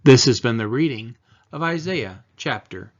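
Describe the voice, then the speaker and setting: A man reading the Bible aloud solemnly, in measured, deliberate phrases with pauses. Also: medium pitch, expressive. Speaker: scripture reader. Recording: dull, lo-fi sound.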